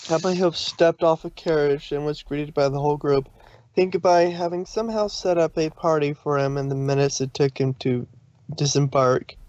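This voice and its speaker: A man's voice: on the high side, moderate pace, darkish tone, no echo. Male story narrator, dry dull recording. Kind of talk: scripted fan fiction narration.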